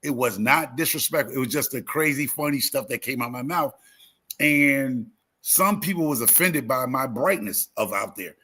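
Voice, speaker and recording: A man's voice: medium pitch, lively intonation, moderate pace, bright, forceful tone, slightly rough. A talkative man in his fifties chatting casually as a livestream host, emphatic and repeating phrases as he tells motivational stories. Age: 50s. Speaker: YouTube gardening creator and live host.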